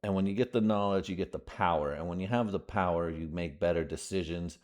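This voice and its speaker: Low-voiced, slangy podcast host. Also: male voice, fairly quick, darkish tone. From United States. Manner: slangy, profane, rambling with many fillers. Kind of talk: casual rambling podcast monologue.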